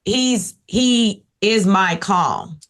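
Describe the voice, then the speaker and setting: Raspy-voiced woman, playful chat. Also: mid-range voice, very slow. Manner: animated, playful, teasing. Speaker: podcast guest, wife. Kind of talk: casual chat.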